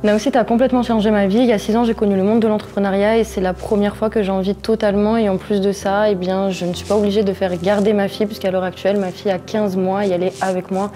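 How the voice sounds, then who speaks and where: A woman's voice: medium pitch, level tone, fast, few pauses, warm tone. A woman in her twenties giving a promotional testimonial as an entrepreneur. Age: 20s.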